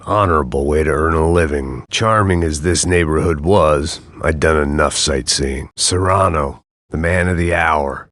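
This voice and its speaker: Very deep, husky, jaded noir antihero. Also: male voice, dry recording. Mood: wry, brooding. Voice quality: gravelly, husky. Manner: weary, sardonic, deadpan. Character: jaded, self-loathing middle-aged male hard-boiled antihero. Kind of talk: noir video game inner-monologue narration.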